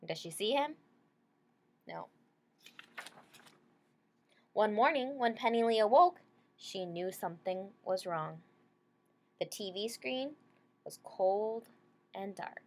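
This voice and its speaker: A woman reads a picture book aloud to young children at a middling pitch. She breaks off for casual, simple explanations and often checks in with short tag questions. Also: animated, takes it slowly, pauses often. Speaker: storybook reader for children.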